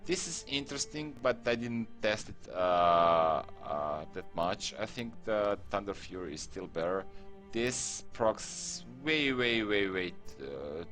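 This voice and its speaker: A man with a Croatian accent walks through a game tutorial in unhurried, halting English, full of pauses and restarts. His voice sits low. Faint music plays beneath him. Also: animated, fairly bright tone. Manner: halting, many fillers and restarts. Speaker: gaming tutorial creator.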